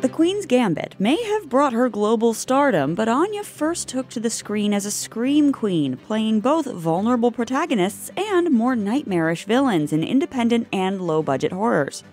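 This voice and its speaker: A woman narrates a scripted video essay with a fairly high, very lively voice that rises and falls a lot. She reads in a measured, polished way while soft music plays underneath.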